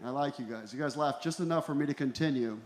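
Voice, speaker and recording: A man in his forties doing a deadpan, self-deprecating stand-up routine into a handheld stage microphone. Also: medium pitch, fast talker, noisy background. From Ecuador and El Salvador. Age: 40s. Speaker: stand-up comedian. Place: small comedy venue in a tent, on stage. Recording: roomy live comedy club sound.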